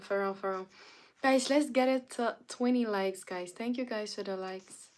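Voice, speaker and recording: A woman's voice: mid-range voice, moderate pace, a little mellow. A young woman from Canada hosting a casual live stream, greeting viewers by name and answering their chat comments. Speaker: livestreamer.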